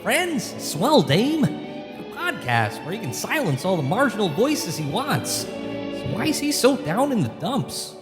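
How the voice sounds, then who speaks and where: A man's voice: high-pitched, huge pitch swings, measured pace, full character voice. A man playing a folksy, naive, inquisitive angel in a comic radio play. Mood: curious, puzzled. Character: folksy heavenly angel watching a man's life. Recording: long reverb, music behind. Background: music.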